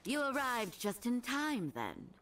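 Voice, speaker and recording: A woman's voice: on the high side, very animated, unhurried, a bit bright. A woman playing a confident, eager young wizard scholar in a video game, assured and formal. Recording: slightly distant, with game ambience.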